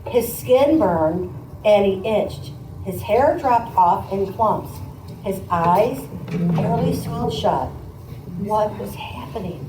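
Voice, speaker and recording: A woman's voice: medium pitch, expressive, takes it slowly. Female teacher doing a conversational read-aloud, explanatory and questioning, recorded at a distance with some background noise. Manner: explanatory, prompting listeners with questions. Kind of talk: reading aloud to children with conversational asides. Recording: roomy, distant mic, noisy room.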